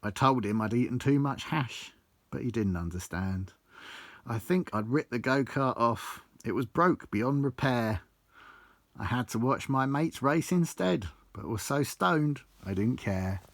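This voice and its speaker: Bloke reading out his rhyming memoir, really animated, sweary, dry close sound. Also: medium pitch, unhurried, dry recording. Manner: theatrical storytelling, blunt and sweary. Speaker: author reading own book. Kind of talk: recited rhyming autobiographical verse.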